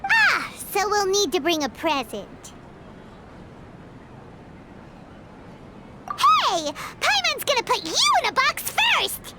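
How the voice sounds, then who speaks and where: A woman's voice: very high voice, wildly swooping pitch, unhurried, sharp tone, slight background noise, full character voice. A woman voicing a tiny, childlike, food-loving fairy companion in an anime video game, eager and exclamatory. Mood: excited, bossy. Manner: exclamatory, eager, talks of self in third person. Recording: music behind the voice.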